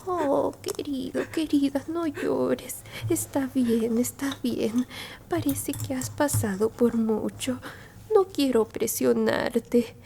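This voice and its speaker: A woman voicing a gentle, bookish, elderly alien grandmother in a whispered ASMR roleplay, soothing and tender, with a breathy, creaky voice. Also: high voice, slight background noise, full character voice. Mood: tender, consoling. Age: older. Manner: slow, soothing whispering with soft murmured hums and pauses. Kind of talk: Spanish-language ASMR roleplay, mostly whispered.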